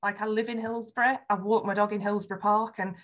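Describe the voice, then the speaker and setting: A woman's voice: medium pitch, flat delivery, fast, muffled recording. A woman with a Yorkshire accent, speaking frankly and earnestly as a podcast guest in a conversational interview, with frequent ums. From Yorkshire, England.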